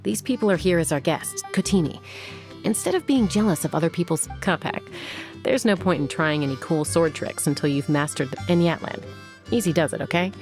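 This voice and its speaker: A woman's voice: on the low side, fairly quick, darkish tone, lightly acted. A woman playing a cool, frank young warrior and peacemaker in a video game, wry and matter-of-fact. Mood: gently firm. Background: music.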